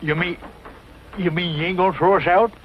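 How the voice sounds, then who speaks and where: Cranky, folksy old cowboy sidekick played by a man, rural Western accent, highish pitch, dull vintage western soundtrack with faint noise. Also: steady tone, a little fast, natural voice. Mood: astonished. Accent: rural American West. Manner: folksy, blustering. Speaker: comic sidekick actor. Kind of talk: 1940s western film acting.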